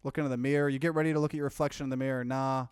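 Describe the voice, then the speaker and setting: A man's voice: medium pitch, little pitch movement, rapid-fire, clear, resonant tone, dry recording, clean studio sound. A man doing a casual let's play, reading game text aloud and dropping in offhand comments. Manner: mixes plain narration with offhand asides.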